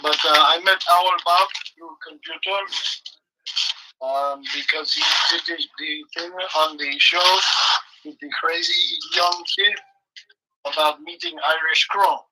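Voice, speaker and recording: A man speaking in halting, broken English with deadpan humor, rambling as a guest co-host and then guiding a slow meditation. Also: fairly high pitch, very noisy. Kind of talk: rambling comic chat turning to guided meditation.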